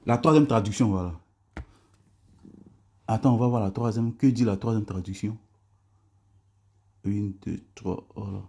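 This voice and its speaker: A man's voice: lowish voice, measured pace, long pauses, darkish tone. A man hosting a live religious debate stream, reading verses aloud and laughing often with his callers. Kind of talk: casual live debate chat.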